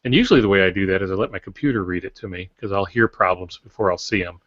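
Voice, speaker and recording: An older American man with a low voice explains things in a casual, conversational way. He is recorded dry and slightly muffled over a video call, like a podcast co-host. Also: very fast, a bit bright, no echo. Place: small indoor office with shelves. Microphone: video call, close to camera.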